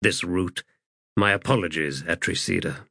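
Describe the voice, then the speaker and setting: A man performing a courteous army commander in a fantasy audiobook. His speech is grave and measured, fairly low and unhurried. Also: expressive, a bit bright, no room sound, clean studio sound, heavily acted. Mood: sombre.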